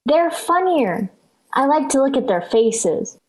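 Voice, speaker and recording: A woman's voice: high voice, very animated, takes it slowly, full character voice, rough recording. A female performer playing a stubborn, blunt little kid sister in a 1940s radio sitcom. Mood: pesky, blunt. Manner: blunt, defiant.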